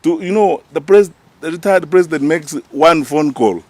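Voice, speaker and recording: A man's voice: fairly high pitch, normal speed, darkish tone, dry recording. A man with a Kenyan accent speaking off the cuff as a party official at a press conference, emphatic and indignant, often putting rhetorical questions.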